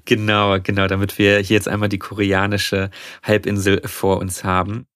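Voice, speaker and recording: A man's voice: fairly low pitch, completely flat delivery, normal speed, fairly bright tone, dry recording. A man co-hosting a podcast, talking through a story in a chatty way with frequent restarts. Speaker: podcast co-host, presenter of the story. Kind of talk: casual podcast conversation.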